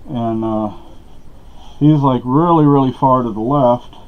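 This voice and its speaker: A man's voice: medium pitch, measured pace. A middle-aged man from Texas talking casually to the camera at home, meandering with many fillers and restarts. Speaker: vlogger. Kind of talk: rambling casual talk to camera. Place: small dark room at home. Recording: dull lo-fi camera audio.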